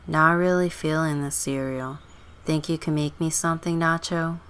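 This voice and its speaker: Teenage runaway girl, played by a woman: low-pitched, dry, stubborn, sardonic, life-simulation game story. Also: steady tone, normal speed, fairly bright tone, clean tone. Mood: casual.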